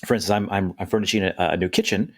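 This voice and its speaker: A man's voice: low voice, fast. A middle-aged man co-hosting a wine podcast, who talks in a loose, rambling, self-deprecating way. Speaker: podcast co-host, wine expert. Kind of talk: casual podcast chat.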